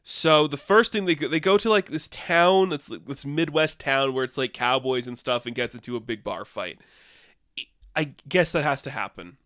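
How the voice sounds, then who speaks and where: A man's voice: mid-range voice, normal speed, a bit bright, no room sound, studio quality. A young man giving a casual, rambling film review, sarcastic and exasperated, with frequent filler words.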